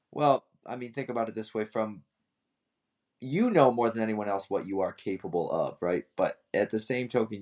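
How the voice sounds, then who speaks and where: A man's voice: fairly low pitch, darkish tone. A man co-hosting a fitness podcast in casual chat, easygoing and prone to trailing off mid-thought. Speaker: podcast co-host.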